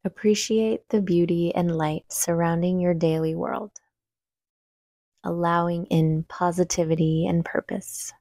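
Slow, mellow woman guiding meditation. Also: lowish voice, dry recording, studio quality. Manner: calm, soothing, unhurried instruction. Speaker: meditation guide. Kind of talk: guided meditation.

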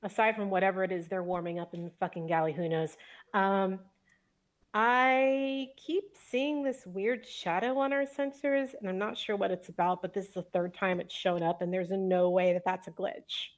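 A woman's voice: medium pitch, fairly even intonation, normal speed. A woman playing a tabletop roleplay game, improvising her crew member's lines in loose, casual chat. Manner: informal, loose, swearing. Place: indoor room at home, on a video call.

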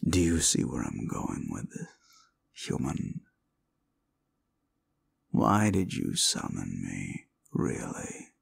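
A man's voice: extremely low pitch, very animated, takes it slowly, creaky, pauses often, a little hiss or hum, exaggerated voice. A man playing a cruel, condescending demon in an audio roleplay, talking down to the listener with mocking, theatrical delivery. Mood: menacing, scornful. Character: condescending, cruel male demon summoned as a date.

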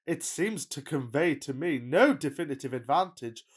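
Woman doing a posh pompous bachelor in a radio play, low-ish voice, flowery and super expressive. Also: breathy edge. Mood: haughty reasoning. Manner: florid, mock-solemn, deliberate declaiming. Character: pompous amorous wealthy bachelor gentleman of thirty-two. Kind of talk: theatrical radio-play farce acting.

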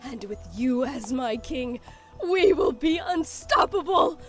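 A vengeful, obsessive villainess from a cartoon. Her voice is high and swings dramatically as she gloats and taunts, with music playing behind her. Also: expressive, slow pace. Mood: menacing. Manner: theatrical, taunting. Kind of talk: animated fan series voice acting.

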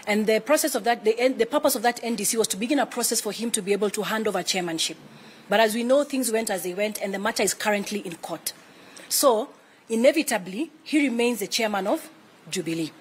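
A Kenyan woman speaks as an official spokesperson at a press briefing. Her delivery is quick and formal, at a middling pitch, over faint background noise. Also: lively intonation. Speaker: spokesperson for a retired president's office. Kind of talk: press conference answers. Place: indoor room with flags, press briefing.

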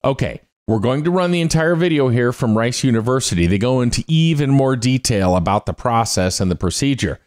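Middle-aged male host, lively delivery, reading science news in a home studio. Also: mid-range voice, brisk, hardly any pauses. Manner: upbeat, exclaiming. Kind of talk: scripted science news read. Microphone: close studio mic on arm.

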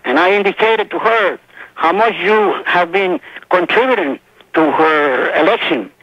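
A man's voice: sits high, unhurried, dry recording. A man from Cuba with a Cuban Spanish accent, calling into a radio show to speak formally and earnestly. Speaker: caller. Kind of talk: phone-in call. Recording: muffled phone line on AM radio.

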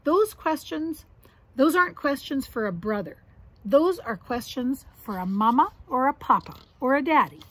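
Woman as a careful little boy bear, storybook character, earnest, high and animated, scolding gently. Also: normal speed. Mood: wary, admonishing. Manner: earnest, admonishing. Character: cautious, careful young boy bear cub.

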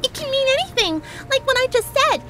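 Bubbly young cartoon pony mare, extremely high squeaky voice, excitable rambler, loud music behind. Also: female voice, fairly quick. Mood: blithely chatty.

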